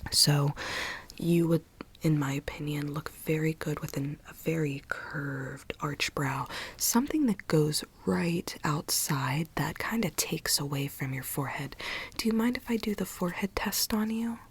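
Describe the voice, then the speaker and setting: Slow, whispering ASMR woman. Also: sits low. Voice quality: breathy, unvoiced whisper. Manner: gentle, unhurried explaining to a client. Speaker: ASMR creator role-playing a microblading consultant. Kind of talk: ASMR consultation role-play, whispered.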